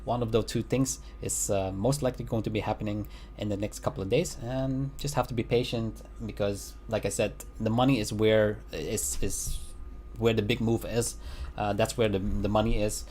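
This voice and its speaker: A man's voice: on the low side, steady tone, a little mellow, a little hiss or hum. A man casually talking through market charts, with lots of fillers and restarts, recorded at home. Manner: halting, many fillers and restarts; chuckles at own remarks. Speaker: crypto market analyst. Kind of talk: casual chart analysis commentary.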